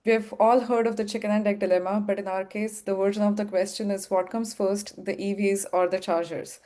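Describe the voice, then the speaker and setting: Mellow-voiced woman moderating a panel. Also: medium pitch, flat delivery, fairly quick. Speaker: webinar moderator.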